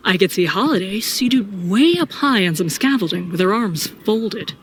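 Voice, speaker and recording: A woman's voice: mid-range voice, animated, moderate pace, close to an everyday voice. A woman narrating a sci-fi audio drama in first person as a troubled, brooding soldier. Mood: tense recounting. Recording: clear background noise and ambience.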